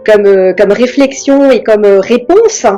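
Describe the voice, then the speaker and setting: Hesitant woman with a mellow voice. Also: medium pitch, soft background music. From France. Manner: hesitant, many pauses and restarts. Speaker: guest. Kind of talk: reflective interview talk.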